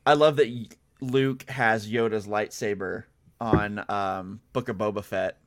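A man's voice: fairly low pitch, fairly even intonation, measured pace, slight background noise. A man in casual, agreeable fan chat as a podcast panelist.

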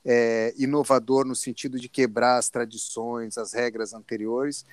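A man's voice: medium pitch, level tone, unhurried, no echo. A man with a Brazilian accent in a reflective panel discussion, searching for his words as he goes.

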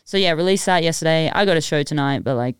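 A young Australian woman, a music producer, chatting on a podcast in a giggly, self-deprecating, animated way. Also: deep voice, quick pace, clean tone, no echo, studio quality. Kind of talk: casual podcast chat.

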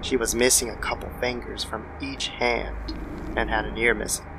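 A man reads a scripted horror tale as narrator. His mid-pitched voice has heavy gravelly fry, and clear noise sits behind it in the recording. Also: flat delivery, normal speed. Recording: noisy background ambience.

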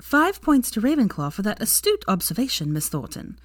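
A brisk, gleeful grown woman teacher, talking high and excitable with big swoops in pitch, as a woman reader puts her on. The sound is close and dry, with no room around it. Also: no room sound. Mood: bright. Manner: high, animated and emphatic.

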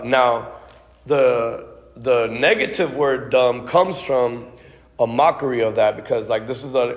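A man preaching a sermon and praying aloud in a casual, conversational way, full of restarts, with "God" and "Lord" tags. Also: mid-range voice. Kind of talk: sermon with spoken prayer. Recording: long reverb of a large hall.

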